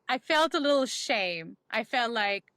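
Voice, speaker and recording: A woman's voice: on the high side, animated, a little slow, fairly bright tone, clean tone, no echo, studio quality. A woman, a podcast guest candidly telling her life story in a reflective way with many ums. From Bangladesh. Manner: reflective, frequent um fillers.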